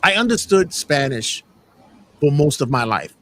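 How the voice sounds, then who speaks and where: A man talking in a casual podcast chat, his voice sitting a little high and swinging widely up and down. He stumbles into sentences, repeating a word two or three times before the thought comes out. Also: a little slow. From Puerto Rican family. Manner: animated, repeats words when starting sentences. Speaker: podcast host.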